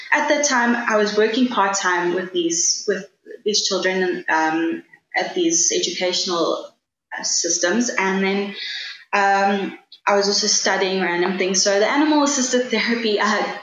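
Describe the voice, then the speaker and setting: A woman's voice: medium pitch, normal speed, a bit bright. A South African woman whose first language is Afrikaans, answering interview questions in an earnest, enthusiastic way and often restarting her sentences as she thinks aloud. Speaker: guest, travel writer. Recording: echoey room with faint background noise.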